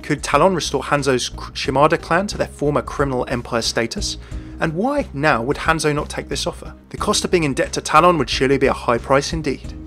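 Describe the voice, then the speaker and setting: A man's voice: a little high, a little fast, a bit bright, soft background music. A man hosting a video, reading scripted commentary on a video game. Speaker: video host.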